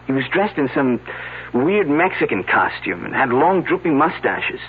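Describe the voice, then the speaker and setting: Earnest young dig worker, kinda flustered, animated, thin old radio broadcast. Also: male voice, mid-range voice, normal speed, breathy edge, phone-quality sound. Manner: earnest, stammering. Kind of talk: 1970s radio drama acting.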